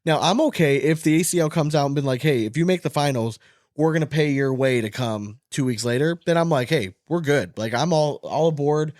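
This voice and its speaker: A man's voice: fairly high pitch, a little fast, a little mellow, no echo. Blunt male podcast host, dry close sound. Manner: blunt, sweary, slangy. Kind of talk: casual sports podcast chat.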